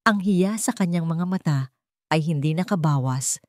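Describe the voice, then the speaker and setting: A woman narrating a romance story in a dramatic, expressive reading style. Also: fairly low pitch, normal speed, no echo, clean studio sound.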